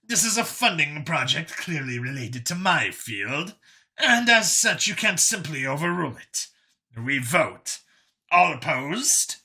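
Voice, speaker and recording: A man voicing a confident, formal female alien official in a science fiction audiobook, speaking in a deliberate, emphatic way. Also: a little high, very animated, sharp tone, exaggerated voice. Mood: indignant. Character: imperious female alien official, confident, formal, free-thinking council chair.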